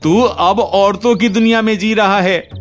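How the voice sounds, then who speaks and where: A man's voice: sits high, steady tone, a little slow, faint music behind. A man playing a mocking, traditional village friend in a Hindi moral-story cartoon, goading and taunting as he talks. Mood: sneering.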